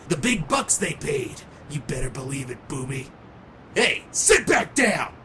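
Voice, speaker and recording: A man's voice: a little high, noisy background. A man playing a brash, bitter washed-up rocker who boasts and tells his story in slangy, theatrical style for a comic dub. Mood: bitter, boastful. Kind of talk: comic-book dub narration. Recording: music playing behind the voice.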